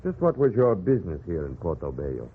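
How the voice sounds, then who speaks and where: An actor playing a stern, skeptical colonial governor sitting as judge, commanding and sardonic in 1940s radio style, on a tinny old recording. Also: male voice, sits low, wildly swooping pitch, brisk, slightly rough, heavily acted.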